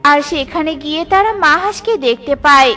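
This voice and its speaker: A woman's voice: high voice, brisk, bright, forceful tone, soft background music. A woman storyteller giving scripted narration for a children's cartoon.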